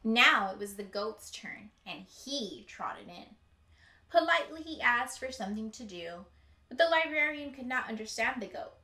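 A young woman reading a picture book aloud as a teacher to children, with deliberate, emphatic storytelling. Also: a little high, expressive, sharp tone. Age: young adult. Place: indoor room with bookshelves. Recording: roomy indoor echo.

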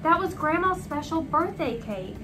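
A woman's voice: sits high, expressive, moderate pace, music in the background, pitched above the performer's own voice. A woman voicing a stern mother who scolds her children emphatically in a children's storybook. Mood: hurt, reproachful.

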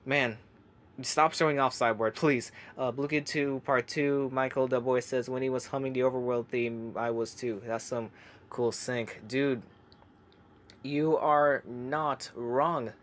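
Young male creator, casual comment-reading vlog, hushed delivery, chatty and halting. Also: mid-range voice, steady tone. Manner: chatty, hesitant, many fillers and restarts; kept quiet so family can sleep. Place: home laundry room.

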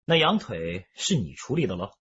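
Police detective, wry, probing, very husky, wildly varied pitch. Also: male voice, sing-song, normal speed, a bit bright, muffled recording, heavily acted. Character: shrewd, teasing male police detective. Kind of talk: radio drama acting.

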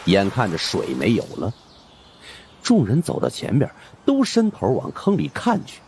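A man's voice: medium pitch, huge pitch swings, moderate pace, darkish tone, slight background noise. A man narrating a horror story in a suspenseful, theatrical way. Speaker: audiobook narrator.

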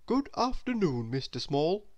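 A man's voice: a little high, sing-song, unhurried, darkish tone, dull audio. A man voicing a friendly male garden worm of very few words in a children's story. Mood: polite. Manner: terse, polite.

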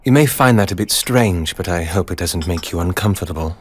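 An actor playing a reserved, courteous gentleman in an animated game, speaking in a measured, understated way. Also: low-pitched, husky. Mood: polite, modest. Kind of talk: animated game cutscene acting.

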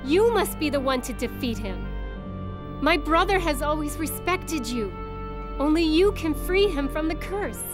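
A woman's voice: sits high, lively intonation, unhurried. An actress playing an earnest, solemn young noblewoman in a video game, formal, pleading yet firm. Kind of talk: dramatic video game voice acting. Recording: music under the voice.

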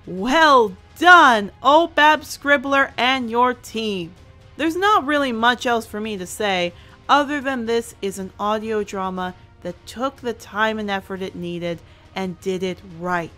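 A woman's voice: on the high side, takes it slowly, bright, forceful tone. A woman hosting a scripted review, speaking in an earnest, emphatic way with somewhat formal phrasing. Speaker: host and reviewer. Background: background music.

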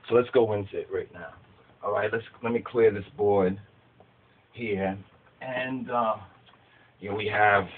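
A man in his forties teaching scripture from a small room at home, rambling and conversational with plenty of restarts. His voice sits fairly low, and the webcam audio is thin and tinny like a phone line. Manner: conversational, many fillers and restarts, holds books up to camera. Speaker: religious teacher. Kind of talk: informal teaching video. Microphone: webcam close-up.

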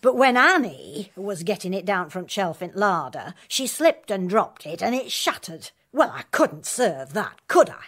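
A chatty old country cook. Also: female voice, medium pitch, sing-song, fairly bright tone, exaggerated voice. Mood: deferential. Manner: deferential, chatty, slow. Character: older female country cook, respectful.

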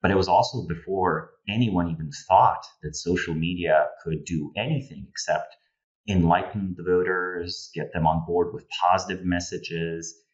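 Male interview guest, thirties, Slovenian accent, deep register, explanatory and discursive, recorded a bit lo-fi over video call. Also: fairly even intonation, moderate pace. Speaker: guest political analyst. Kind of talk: conversational analysis in an interview. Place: home room with bookshelves, indoors. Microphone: earphone headset on video call. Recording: slightly dull video-call audio.

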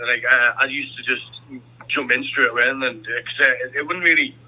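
A man's voice: mid-range voice, steady tone, brisk, pushed and bright. A young man talking hesitantly and agreeably in a phone conversation, over a tinny telephone line. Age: young adult. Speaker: army applicant, prank call target.

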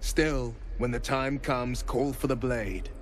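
A man's voice: medium pitch, takes it slowly, a little hiss or hum, natural voice. A man playing a gallant, boastful young monster hunter in a fantasy game, with a confident, theatrical manner. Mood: proud, courteous.

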